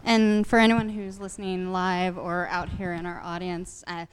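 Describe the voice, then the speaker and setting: A woman moderates a live panel, introducing questions in a smooth, slightly low voice. She keeps it brief and practical. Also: little pitch movement, moderate pace.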